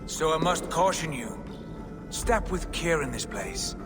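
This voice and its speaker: An actor playing a loyal, cautioning warrior god in a video game, speaking in earnest, measured, formal counsel. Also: male voice, on the high side, expressive. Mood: calm, reassuring. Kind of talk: dramatic game cutscene acting. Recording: echoing hall reverb under music.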